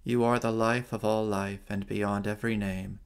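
A man in his forties with an American accent, a priest giving a reflective homily and leading night prayer from home on a webcam, in a slow, measured way. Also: on the low side, flat delivery, clean tone. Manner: slow, measured liturgical reading. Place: living room at home, indoors at night. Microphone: webcam on a video stream.

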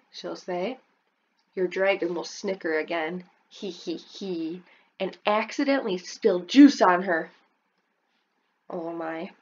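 A preschool teacher reading a picture book aloud to children, stopping often to ask questions about the pictures and pitching her voice up for the characters' lines. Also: slightly rough, muffled recording.

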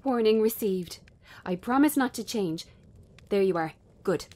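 A woman acting as a young female bartender in an audio drama. She is wary and weary, with a sharp, defiant edge. Her voice sits at a middle pitch for a woman. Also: lively intonation, unhurried. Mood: sceptical, challenging. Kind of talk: dramatic script reading.

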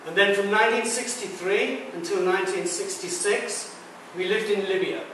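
A man in his sixties gives a talk with a fairly high voice, recorded from across a very echoey room. He is chatty and jokes with the audience, and faint room noise sits underneath. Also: normal speed, recorded from a distance. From Britain. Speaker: guest speaker. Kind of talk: public talk. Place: large echoey lecture room. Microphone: far camera mic.

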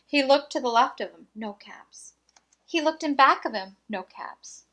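A woman reading a children's picture book aloud, with expressive, deliberate phrasing and small asides. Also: high-pitched.

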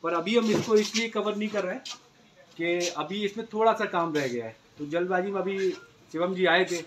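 A man's voice: sits high, moderate pace, a bit bright, slight background noise. Young man, Uttar Pradesh Hindi accent, polite explainer, phone-recorded, cluttered workshop. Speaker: sofa maker showing his work. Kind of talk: casual showroom talk to camera.

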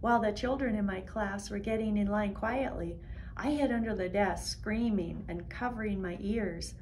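A woman's voice: mid-range voice, moderate pace, darkish tone, low-quality recording. A middle-aged woman reading a children's story aloud. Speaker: school staff storyteller. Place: brick-walled school room, indoors. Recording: faint background noise in room.

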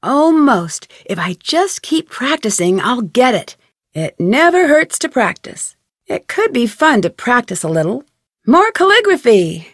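A woman with an American accent playing a plucky, curious teenage girl detective in a video game, thinking aloud in a clear, chipper way. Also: on the high side, big pitch swings, a little slow, dry recording, studio quality. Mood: cheerful, excited. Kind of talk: scripted video game voice lines.

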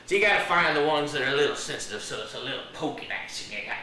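A man's voice: mid-range voice, animated, racing, a crowd in the background, natural voice. A man playing a boastful Australian croc handler. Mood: folksy boastful. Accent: put-on Australian. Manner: jokey, folksy, drawling, laughs at own jokes. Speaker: guest playing a crocodile dentist. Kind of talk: comedy sketch acting. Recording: echoey room, heard from a distance.

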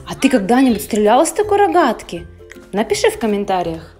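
High voiced lady hosting a kids vlog, animated, talking right to the little viewers, music playing behind. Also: a little fast. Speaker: off-camera co-host of a family children's vlog. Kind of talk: upbeat kids' channel presenting and chat. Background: background music.

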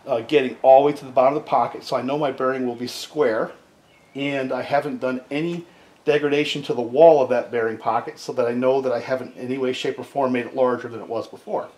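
A man's voice: mid-range voice, steady tone, fast talker, a little mellow. A middle-aged man casually explaining repair steps at his workbench, often asking "right?" as he talks, recorded by a camera mic in a cluttered home workshop. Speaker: chainsaw repair video host. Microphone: camera mic on a tripod, speaker at the workbench. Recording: echoey workshop with background noise.

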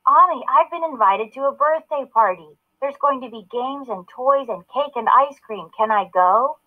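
A woman's voice: high-pitched, animated, normal speed, phone-quality sound, pitched above the performer's own voice. A woman voicing a whiny, demanding little sister in a children's storybook. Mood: excited, whiny.

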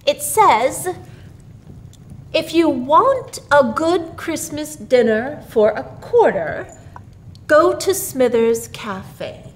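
A woman's voice: high voice, sing-song, very slow, pitched above the performer's own voice, heavily acted. A woman voicing a jovial, well-to-do father in a storybook, speaking formally and with mock-grand emphasis. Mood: joking. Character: Mister Harrison, grave, jovial well-to-do father.

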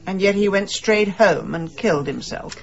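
An actress playing a headstrong, prickly, impatient amateur sleuth in a radio drama, speaking in a brisk, wry, assertive British voice. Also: female voice, medium pitch, expressive, creaky, dull audio. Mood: probing.

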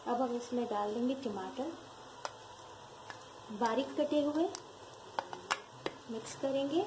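Calm woman explaining a recipe step by step. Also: on the high side, slow pace. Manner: calm, instructive, addresses viewers directly. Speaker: cooking channel host. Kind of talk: step-by-step cooking tutorial voice-over. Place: home kitchen. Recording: noisy home recording with background ambience.

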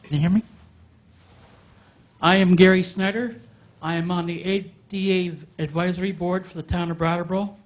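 A man's voice: sits high, steady tone, normal speed. A middle-aged man speaking bluntly into a handheld microphone during public comment at a town meeting, raising complaints. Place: town hall meeting room.

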